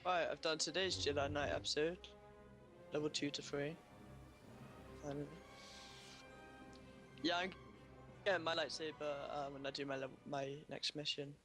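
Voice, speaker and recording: A man's voice: a little high, steady tone, moderate pace, long pauses, pushed and bright. A man hosting casual gameplay commentary, rambling along as he talks to himself. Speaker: gaming video host. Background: game music.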